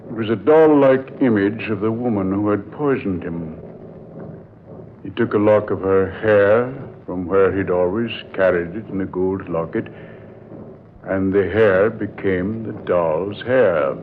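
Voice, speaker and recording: An actor playing a grave doctor who calmly unveils a deadly secret in an old-time radio drama, with measured, deliberate storytelling. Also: male voice, low voice. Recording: muffled, noisy old radio recording.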